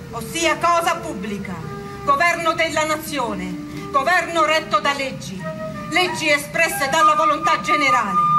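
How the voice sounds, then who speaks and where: A woman's voice: high-pitched, distant mic, low-quality recording. A woman giving solemn, oratorical dramatic narration from a script.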